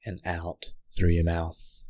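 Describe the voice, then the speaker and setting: A man guiding a meditation in a gentle, unhurried way, speaking in short phrases with long pauses. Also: low-pitched, monotone, dry recording. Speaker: meditation guide. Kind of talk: guided meditation narration.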